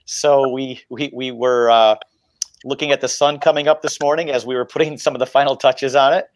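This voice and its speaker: A man's voice: medium pitch, fairly even intonation, brisk, dry recording. Middle-aged male guest, mid-range, dry earbud sound, casual explainer on a video call. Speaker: video editor and panel guest. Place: indoor home room with wooden glass cabinet, video call. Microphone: wired earbuds microphone.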